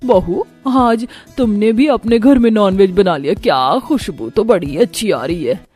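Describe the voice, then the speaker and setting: A woman's voice: medium pitch, lively intonation, measured pace, darkish tone, slightly rough, soft background music. A woman playing a nosy, teasing neighbourhood auntie in a cartoon story. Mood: curious.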